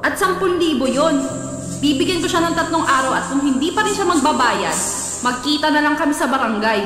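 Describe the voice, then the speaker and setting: High-voiced stern Filipino creditor, echoey. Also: female voice, expressive, a little fast. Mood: stern, demanding. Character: stern, demanding woman collecting a debt. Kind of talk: Filipino radio drama acting. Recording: very echoey hall, music behind.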